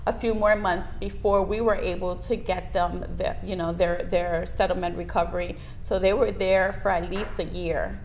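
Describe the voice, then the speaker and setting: A woman's voice: fairly low pitch, fairly even intonation, normal speed, hardly any pauses, a little mellow. Woman, noisy studio recording. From Miami, United States. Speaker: interview guest, lawyer. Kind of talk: conversational interview answers. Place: small indoor video studio, seated at desk. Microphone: lapel mic.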